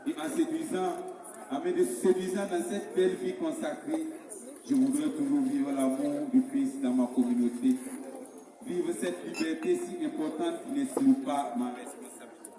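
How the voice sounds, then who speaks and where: A man's voice: a little high, moderate pace, mellow. Man reciting poetry in a cavernous, echoing hall. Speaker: poet reciting his own religious poem. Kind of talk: declaimed French spoken-word poetry with a sung opening hymn. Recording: very echoey hall, distant, music behind. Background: music.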